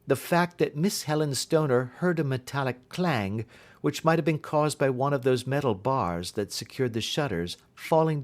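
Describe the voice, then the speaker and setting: A male reader acts a keen gentleman detective for an audiobook. He pitches his words high with lively swoops, setting out his reasoning precisely and keeping a bland, unruffled courtesy. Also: natural voice. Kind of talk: audiobook character acting.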